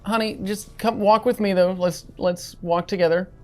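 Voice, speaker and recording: A woman's voice: medium pitch, steady tone, moderate pace, dark tone, natural voice. A woman playing a protective, motherly spaceship crew member in a tabletop game, reassuring and wry. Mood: gentle. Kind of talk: tabletop roleplay.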